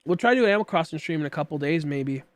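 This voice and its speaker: A man's voice: on the high side, lively intonation, racing, no room sound. A man in his late twenties chatting casually while streaming a game, rambling and joking about himself as he talks to viewers and a friend. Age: 20s. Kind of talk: casual livestream chat while gaming.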